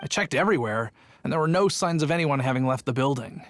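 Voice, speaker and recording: A man's voice: mid-range voice, animated, fast, natural voice. A man playing a rambling sheepish guard. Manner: rambling storyteller, defensive. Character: chatty, sheepish adult male city guard.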